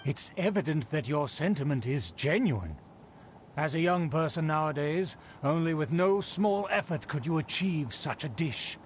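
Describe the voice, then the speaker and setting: A man's voice: a little high, lively intonation, normal speed, slight background noise, close to an everyday voice. An actor playing a pompous, snobbish middle-aged nobleman who speaks formally and condescendingly. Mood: haughty. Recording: game dialogue with music behind.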